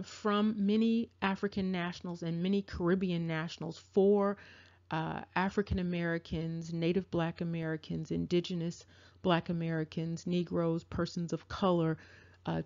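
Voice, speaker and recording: A woman giving spoken commentary to her viewers, deliberate and emphatic, with a repetitive cadence. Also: fairly low pitch, darkish tone. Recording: dull lo-fi audio.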